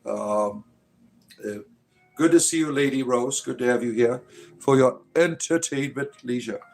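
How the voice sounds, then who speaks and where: Casual male stream host in his fifties, rambles and jokes, soft music in the background. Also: mid-range voice, unhurried. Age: 50s. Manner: rambling, joking, full of "uh" and "right?". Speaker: livestream host. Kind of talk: casual live-chat talk answering viewers.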